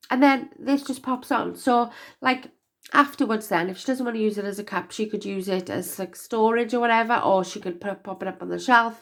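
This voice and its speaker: Chatty woman, nasal from a cold. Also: mid-range voice. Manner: chatty, rambling with many fillers. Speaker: crafter demonstrating box making. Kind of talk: casual craft-along chat.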